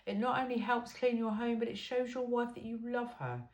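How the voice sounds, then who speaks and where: A woman's voice: on the high side, steady tone, fairly quick. A woman reading a self-help book aloud in an informal, blunt and emphatic way.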